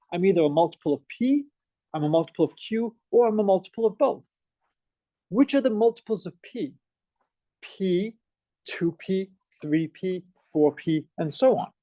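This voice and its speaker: A man's voice: high-pitched, no echo. A man with a Boston accent giving a college math lecture, asking the class questions as he goes. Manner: energetic, asks the class questions. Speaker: math professor.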